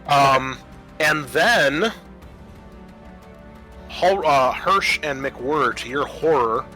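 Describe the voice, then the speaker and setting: A man's voice: medium pitch, drawn-out words, sharp tone. A man narrating a tabletop roleplaying game as game master, casual and descriptive in his delivery. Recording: music under the voice. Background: background music.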